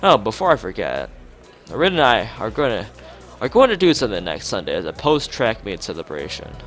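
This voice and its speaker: A man's voice: on the low side, wildly swooping pitch, a little fast, a bit bright. A man voicing a cheerful, chatty teenage girl runner from a visual novel, upbeat and eager, over music.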